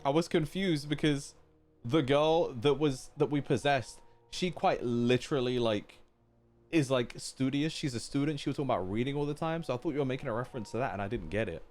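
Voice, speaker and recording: A man's voice: mid-range voice, a little fast, a little mellow. A man streaming a game with casual, slangy reaction commentary. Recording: game music behind voice. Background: game music.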